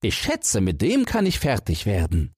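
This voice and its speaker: Guy doing a cheeky young storybook hero, pitched way up, bouncy and carefree. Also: huge pitch swings, normal speed, a little mellow, slightly rough, no room sound, studio quality, exaggerated voice. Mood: cheeky, confident. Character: cheeky, confident young giant-killer hero.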